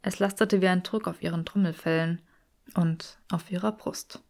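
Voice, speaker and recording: A woman's voice: lowish voice, fairly even intonation, normal speed, a little mellow. A woman reading fiction aloud as an audiobook narrator. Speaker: audiobook reader.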